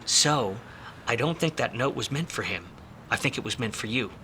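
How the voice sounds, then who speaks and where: A hard-boiled Hollywood private eye from the 1940s, played by a man, with a bright, forceful edge on a mid-pitched voice. He is wry, self-deprecating and smooth-talking, in the manner of an old noir radio drama. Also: expressive, moderate pace, slight background noise. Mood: urgent, persuading. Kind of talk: 1940s radio noir acting and first-person narration.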